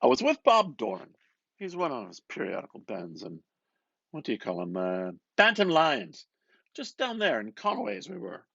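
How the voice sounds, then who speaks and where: A man's voice: fairly high pitch, wildly swooping pitch, moderate pace, creaky, fairly bright tone, breathy edge, no echo, lo-fi sound, pitched above the performer's own voice, heavily acted. A man voicing a chatty, bluff, gossipy Dublin man in an acted reading of a novel, talking in emphatic storytelling bursts.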